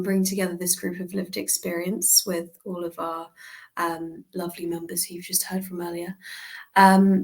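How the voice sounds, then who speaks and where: A woman with a blocked-up head cold, speaking on a video call. Her voice is low, flat and gravelly, and she fills her sentences with frequent pauses and fillers. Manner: many fillers. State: congested with a cold. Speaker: organization representative.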